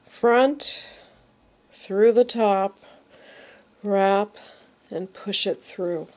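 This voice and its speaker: A woman's voice: mid-range voice, very slow. A woman teaching knitting step by step, sounding congested from a cold and repeating each move patiently. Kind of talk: slow step-by-step craft tutorial.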